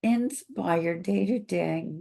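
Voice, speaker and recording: An older woman, a company founder, speaking slowly and thoughtfully over a video call with a froggy voice from recent illness, pausing often with fillers. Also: lowish voice, vocal fry, dark tone. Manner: slow, thoughtful, many pauses and fillers. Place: home office with bookshelves.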